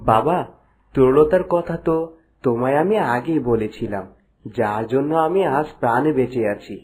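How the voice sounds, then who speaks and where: A man voicing a gentle, earnest young prince in a cartoon. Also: medium pitch, normal speed, natural voice. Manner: earnest, pleading with his father. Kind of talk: Bengali cartoon dubbing.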